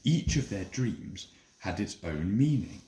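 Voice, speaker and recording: A man's voice: on the low side, big pitch swings, takes it slowly, mellow. A man narrating a Bible story as a dramatic scripted reading. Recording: echoey room, faint noise and clicks.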